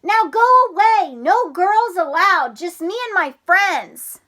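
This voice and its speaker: A woman voicing an excitable, bossy young boy frog from a storybook, loud and eager. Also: extremely high pitch, slow, voice pushed up, full character voice.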